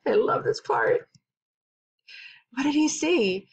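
A middle-aged woman, a kindergarten teacher, reading a story aloud and teaching counting to young children in simple, deliberate phrases with questions. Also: high voice, expressive, slightly rough, muffled recording.

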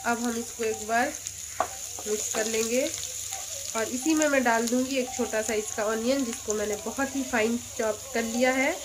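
A woman's voice: a little high, steady tone, moderate pace, noisy background. A woman hosting her own cooking channel, talking through each step of a recipe in a chatty, patient way from her home kitchen. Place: home kitchen by a gas stove.

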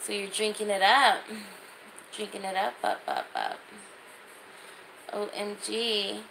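Slow-talking, gravelly woman chatting on livestream. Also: medium pitch, wildly swooping pitch, a bit bright, a little hiss or hum, poor audio. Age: 30s. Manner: chatty, informal. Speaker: livestream host. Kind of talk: casual livestream chat, reading and answering comments. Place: small indoor room. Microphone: phone at arm's length.

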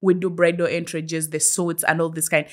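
Chatty Ugandan woman co-hosting, lower voice. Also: little pitch movement, brisk. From Uganda, eastern region. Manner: chatty, addresses viewers directly. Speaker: show co-host. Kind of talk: conversational talk show presenting.

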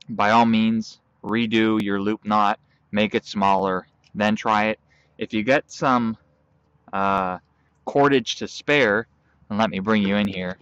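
A young man outdoors in a field, calmly walking through a hands-on tutorial step by step while he works with his hands. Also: fairly low pitch, clean tone, no room sound, lo-fi sound. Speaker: bushcraft instructor.

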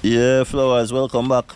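A middle-aged man with a Vincentian accent talks through his backyard fruit garden in an easy, chatty way, slipping into creole phrasing. His voice sits at an ordinary pitch for a man. Faint outdoor noise hangs behind him. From Saint Vincent and the Grenadines. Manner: relaxed, chatty, laughs often, creole phrasing. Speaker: vlogger giving a garden tour. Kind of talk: casual chat.

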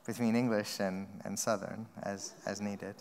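A middle-aged man moderating a panel on a theater stage, speaking in a slow, measured way. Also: fairly low pitch, little pitch movement, creaky, background noise. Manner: slow, measured, with soft laughs. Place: seated on a large theater stage. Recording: long hall reverb.

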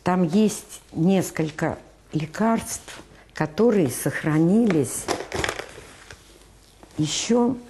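A woman's voice: on the low side, animated, drawn-out words, pauses often, background noise. An older woman guiding visitors through museum exhibits, explaining each object with frequent hesitations, recorded on a lapel mic in a museum room. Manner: hesitant, many filler sounds. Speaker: museum guide.

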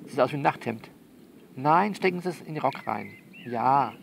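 A man playing a soothing but bossy confidant who coaches someone by phone in an audio drama, halting and repeating himself as he instructs. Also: mid-range voice, animated, vocal fry, slight background noise. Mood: bossy, coaxing. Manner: halting, repetitive, instructive, reassuring. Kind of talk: one-sided phone conversation in an audio novel.

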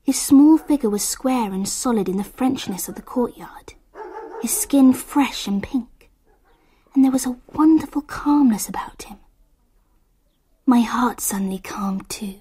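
An actress playing a sensitive, wistful English girl who narrates a radio drama in a hushed, breathy, literary way. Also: fairly high pitch, unhurried. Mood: hushed, relieved.

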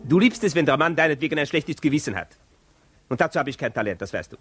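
Bitter lover lecturing down the phone, animated, old radio drama, faint music. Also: male voice, on the high side, fast talker. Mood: bitter, accusing. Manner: emphatic, reproachful. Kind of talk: 1950s radio drama acting.

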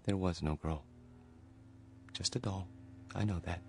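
An actor playing a mild, polite grown son who is eager to please, speaking gently and earnestly in a radio drama. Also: male voice, deep voice, unhurried, slight background noise. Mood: subdued, reassuring. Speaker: actor playing a discharged patient.

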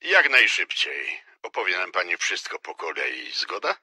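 A curt male official speaks in a husky, lively voice with formal, clipped insistence. He is quietly menacing, in the style of a dramatized crime novel. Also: low-pitched, unhurried, heavily acted. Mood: curt, insistent.

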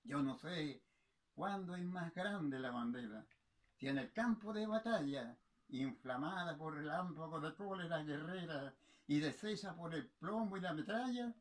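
A man reciting patriotic poetry in a solemn, impassioned, oratorical manner, with theatrical delivery and dramatic pauses. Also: a little high, lively intonation.

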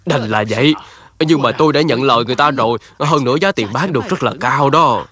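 A man voicing a wealthy middle-aged gentleman in a cartoon, greedy and evasive, blustery and ingratiating. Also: mid-range voice, quick pace, natural voice. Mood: reluctant. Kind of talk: Vietnamese-dubbed moral cartoon.

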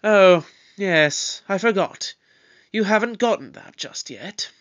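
A man's voice: high voice, lively intonation, a bit bright, heavily acted. A man voicing an eccentric, breezy male time traveler in a fan audio drama, talking in a light, offhand way. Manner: light, offhand, drawn-out delivery.

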